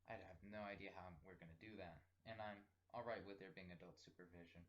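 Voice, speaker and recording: Low-voiced teenage guy thinking aloud. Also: fairly even intonation, a bit bright, lo-fi sound. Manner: halting, thinking aloud in short phrases. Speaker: personal audio log host. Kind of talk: casual rambling spoken diary.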